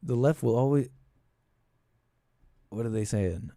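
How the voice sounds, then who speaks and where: A man hosting a casual call-in show, thinking aloud in a halting, repetitive, meandering way. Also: medium pitch, mellow, dry recording, clean studio sound. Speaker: podcast and call-in show host. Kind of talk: rambling casual political commentary.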